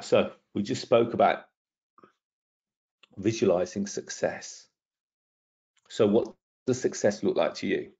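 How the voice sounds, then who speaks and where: Guy in his middle years doing a webinar, croaky rough voice, kinda muffled lo-fi audio. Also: lowish voice, slow pace, pauses often, a little mellow. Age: middle-aged. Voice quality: gravelly, husky. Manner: persuasive, self-promoting, asks the audience questions. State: croaky from lingering illness. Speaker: property trainer hosting a webinar. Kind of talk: webinar presentation over slides.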